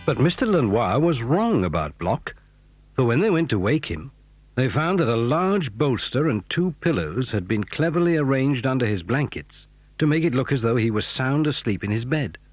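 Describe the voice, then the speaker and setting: A man's voice: medium pitch, big pitch swings, normal speed, dry recording. A man narrating a scripted children's adventure story as the narrator of an audio drama.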